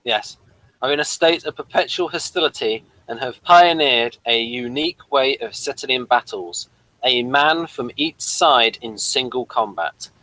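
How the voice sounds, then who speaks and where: A man's voice: medium pitch, unhurried, bright, forceful tone, no echo, poor audio. A man hosting a casual, playful chat review of a TV episode. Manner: playful, bantering. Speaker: podcast host.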